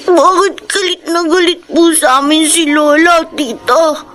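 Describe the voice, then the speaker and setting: A woman's voice: very high-pitched, a little slow, a bit bright. A woman playing a sad, polite little boy in a Filipino radio drama, plaintive and timid. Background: music.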